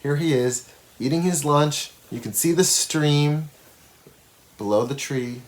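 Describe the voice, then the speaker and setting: A man reads a picture book aloud to young children in an expressive way, pausing to explain pictures and put questions to the listeners. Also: fairly high pitch, slight background noise. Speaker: educator reading a picture book to schoolchildren.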